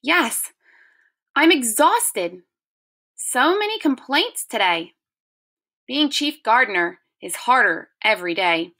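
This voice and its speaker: Lady reading a worn-out storybook dad, earnest n emphatic, high bright voice, slow. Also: very animated, exaggerated voice. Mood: weary, apologetic. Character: tired, apologetic dad and town gardener in a storybook.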